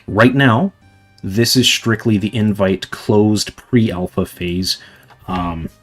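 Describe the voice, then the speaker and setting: Husky young man streaming, rambling. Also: fairly low pitch. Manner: rambling, filler-heavy, explaining to viewers. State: slightly hoarse from long streaming. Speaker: livestreamer answering chat. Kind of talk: casual livestream chat.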